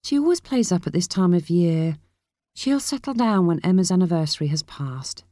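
A woman voicing a gentle, worried older mother, a soft-hearted and placating grandmother in an audiobook. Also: mid-range voice, very animated, a little slow, no room sound, studio quality, voice pushed up. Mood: gentle, sad.

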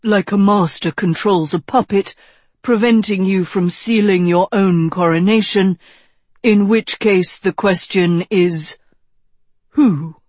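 A woman voicing a shrewd, grave elderly male wizard mentor in a fantasy audiobook, speaking in a measured, instructive way. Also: medium pitch, darkish tone, dry recording. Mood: pondering, ominous.

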